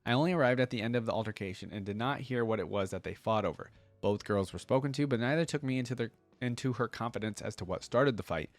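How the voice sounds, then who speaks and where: A man's voice: medium pitch, fast, few pauses, no room sound, soft background music. A man reading story lore aloud and reacting to it with informal, invested fan commentary. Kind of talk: dramatic read-aloud with casual fan commentary.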